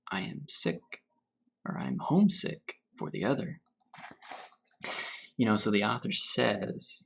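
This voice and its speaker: A man reading a storybook aloud as a teacher for children, pausing to put questions to his young listeners. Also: medium pitch, vocal fry. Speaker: teacher reading to fourth graders.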